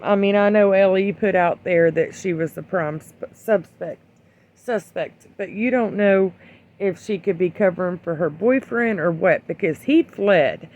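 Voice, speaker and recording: An opinion vlogger giving casual, rambling commentary in a blunt, admonishing way, with hesitant pauses. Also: mid-range voice. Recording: dull lo-fi sound.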